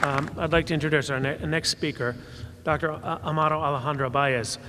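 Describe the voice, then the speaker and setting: A man moderating a panel and introducing the next speaker, halting and full of filler sounds. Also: on the high side, steady tone, sharp tone, some chatter behind. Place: hotel ballroom at a summit. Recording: long reverb of a large ballroom.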